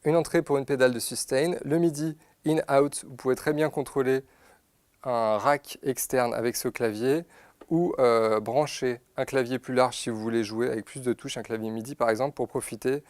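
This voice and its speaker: A man giving an unscripted, methodical product demonstration, pausing to find his words as he explains each step. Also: mid-range voice, fairly even intonation, darkish tone, no room sound, clean studio sound. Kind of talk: unscripted instructional product demo. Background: synthesizer demo sounds.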